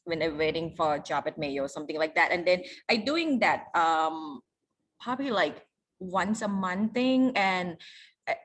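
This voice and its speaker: Low-voiced Thai woman, halting English. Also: lively intonation, fairly bright tone. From Thailand. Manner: halting, non-native phrasing with fillers. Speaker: chef and restaurant owner. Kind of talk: panel self-introduction.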